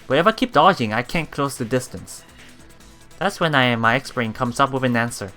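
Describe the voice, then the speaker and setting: Bright-voiced man reading a game aloud. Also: mid-range voice, animated. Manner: halting, stumbles over words and repeats them. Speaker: let's play streamer. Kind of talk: reading visual novel text aloud with off-the-cuff reactions. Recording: music behind the voice. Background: game music.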